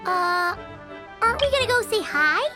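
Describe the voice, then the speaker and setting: A tiny, childlike fairy sidekick from a video game, extremely high and squeaky. The delivery is very animated, full of naive questions and exclamations. Music plays clearly behind the voice. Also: female voice, normal speed. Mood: excited, curious. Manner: refers to self by name, exclaiming and questioning. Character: tiny childlike floating fairy companion, excitable, nosy, naive.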